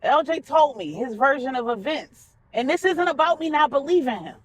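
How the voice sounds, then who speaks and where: Woman acting plaintive high-pitched divorced woman. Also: lively intonation, normal speed, dry recording, low-quality recording. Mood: pleading. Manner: plaintive, confessional. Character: tearful, insecure divorced woman, self-blaming and pleading.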